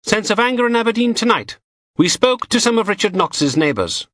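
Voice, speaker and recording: A young woman news reporter delivering a piece to camera in a crisp broadcast manner, voiced by a male narrator. Pitched high above his voice, bright and dry. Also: big pitch swings, brisk, no echo. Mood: solemn.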